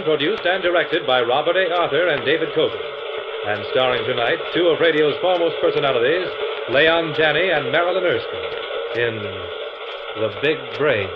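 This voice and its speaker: A man's voice: fairly high pitch, animated, moderate pace. A man announcing a program in a formal, projected 1950s radio style. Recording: noisy, muffled old radio broadcast.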